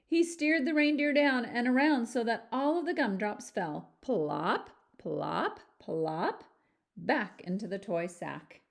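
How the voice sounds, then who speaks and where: Female storybook reader, teacher type, animated delivery, talks directly to children. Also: fairly high pitch, slow pace, gravelly. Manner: animated, addresses young listeners with questions. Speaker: teacher reading a picture book aloud. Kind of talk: children's storybook read-aloud with chatty asides.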